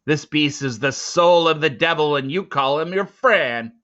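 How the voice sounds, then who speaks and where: A man's voice: a little high, a little slow, sharp tone, dull audio, pitched above the performer's own voice. A man voicing a big, furious, bitter hunter in a storybook, sneering and spitting out his words. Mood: scornful fury. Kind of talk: storybook character acting.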